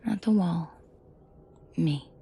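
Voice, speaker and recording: A woman playing a protective mafia boss in an intimate audio drama, soft and breathy with frequent whispering, commanding and dryly teasing. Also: on the low side, slow, noisy background. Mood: tender, teasing. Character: protective female mafia boss, menacing to henchmen, tender to victim.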